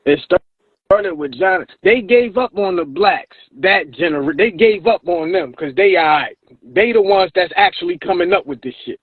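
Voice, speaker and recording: A man's voice: high voice, lively intonation, moderate pace, breathy edge, no echo, phone-quality sound. A man in his thirties, hosting a casual podcast chat, repeating words as he builds his point. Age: 30s. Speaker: podcast host.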